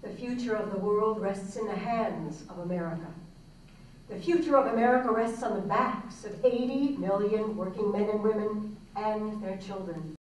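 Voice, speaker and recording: A woman's voice: medium pitch, normal speed, darkish tone. A woman delivering a historical speech in a staged reading in a large hall. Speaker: reader in a staged dramatic reading. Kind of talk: dramatic oratory reading. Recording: echoey hall, distant, faint noise.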